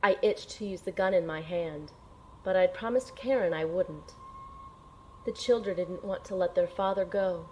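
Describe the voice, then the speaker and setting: A woman's voice: on the low side, normal speed, dark tone, natural voice, poor audio. A woman playing an earnest young female agent in an audio drama, dramatically telling her own adventure. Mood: somber narration. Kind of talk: dramatic first-person narration and audio drama acting. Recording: clear background noise.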